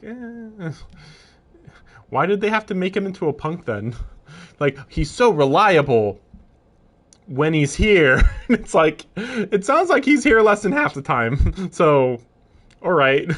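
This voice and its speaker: A man's voice: a little high, very animated, slow pace, fairly bright tone. Casual male streamer reading aloud. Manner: casual, amused asides. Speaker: livestreamer. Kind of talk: reading a visual novel aloud with casual commentary.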